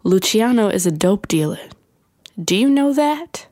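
A woman acts the wary partner of a hustler in a period radio drama. Her voice is mid-pitched and questioning, recorded dry with no room around it. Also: animated, a little slow, darkish tone, no echo, natural voice. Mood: suspicious.

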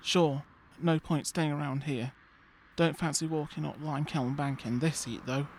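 A man's voice: fairly high pitch, steady tone, normal speed, slight background noise, natural voice. A man plays a bored teenage boy with a Stoke accent, glum and sarcastic. He sounds fed up and gives dry comebacks. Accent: Stoke-on-Trent.

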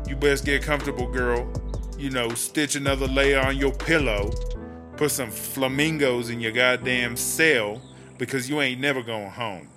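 A man's voice: medium pitch, close to an everyday voice. A man playing a tipsy, streetwise woman prisoner in an audio drama, telling stories in a slangy drawl. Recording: music playing behind the voice. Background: music.

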